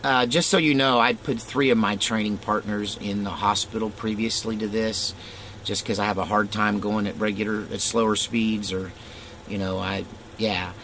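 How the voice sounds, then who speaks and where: A middle-aged man with a low voice tells a personal story in a loose, rambling way, stopping for fillers and small chuckles. A faint hiss of background noise sits under his voice. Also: fairly even intonation, moderate pace, a bit bright, rough recording.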